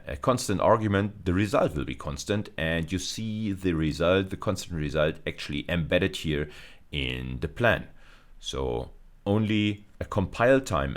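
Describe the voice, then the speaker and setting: Male university lecturer, very low bass voice, halting explanatory delivery for technical teaching.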